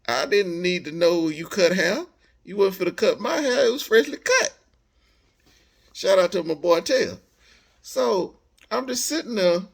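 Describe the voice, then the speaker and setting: Man, American accent, higher-pitched voice, very lively swings in pitch, casual slangy storytelling. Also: measured pace, vocal fry, long pauses. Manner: animated, slangy, acts out quoted dialogue. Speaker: storyteller, host of a personal story series.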